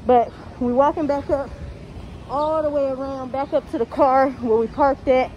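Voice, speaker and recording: High-voiced young American woman vlogging outdoors. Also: steady tone, normal speed. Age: young adult. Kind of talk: casual vlog chat to viewers. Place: outdoors, walking on city streets and waterfront. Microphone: phone camera held at arm's length. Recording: dull phone audio, outdoor street noise.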